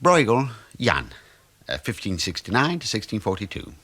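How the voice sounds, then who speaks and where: An actor in a radio play voicing a cheeky, breezy middle-aged British suburban husband who teases and muses like a know-all. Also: male voice, fairly low pitch, huge pitch swings, normal speed, pushed and bright, husky, exaggerated voice. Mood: musing, opinionated. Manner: breezy, teasing, musing.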